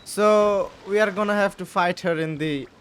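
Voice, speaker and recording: A man doing casual gameplay commentary, thinking aloud haltingly and repeating his phrases. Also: high-pitched, slight background noise. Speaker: gaming streamer. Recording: loud game music behind the voice.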